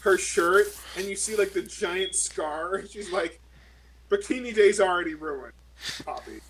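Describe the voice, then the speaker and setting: A man's voice: high voice, lively intonation, a little slow, fairly bright tone, a little hiss or hum, rough recording. A man talking casually as a player in a tabletop role-playing game.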